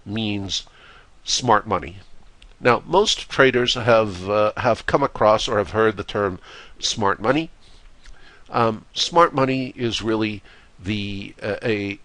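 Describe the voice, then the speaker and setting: A hoarse, congested man delivers a slide lecture on trading in a slightly low voice. He speaks in a measured way and leans on "uh" between phrases. The recording is close and dry, with no room sound. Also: a bit bright, dry recording. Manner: measured, with frequent "uh" fillers. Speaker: trading course instructor.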